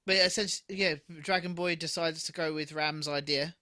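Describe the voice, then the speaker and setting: A man co-hosting a podcast in casual, unscripted chat, blunt, opinionated and quick to swear. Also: on the high side, fairly even intonation, bright, forceful tone, no echo, clean studio sound. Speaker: podcast co-host.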